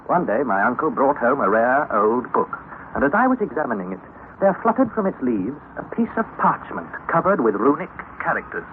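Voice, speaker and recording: A man, narrating as a young student in a radio drama, in a measured storytelling manner, on a tinny old recording. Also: mid-range voice, sing-song, slight background noise, natural voice. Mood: recalling. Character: young male student recalling an adventure.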